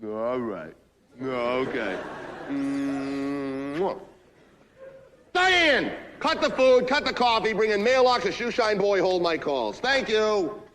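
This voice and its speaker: An actor playing a bullying, smooth-talking company boss in a film-style drama, theatrical and repeating himself to badger. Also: male voice, high-pitched, very animated, takes it slowly, background noise, full character voice. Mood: barking orders.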